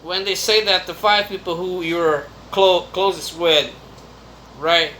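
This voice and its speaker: A man's voice: high voice, steady tone, unhurried, sharp tone, a little hiss or hum. A man from Brazil with a Brazilian Portuguese accent, speaking English as a second language in a rambling, chatty vlog monologue. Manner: rambling, chatty, with restarts and laughs. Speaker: vlogger. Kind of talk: casual monologue to camera.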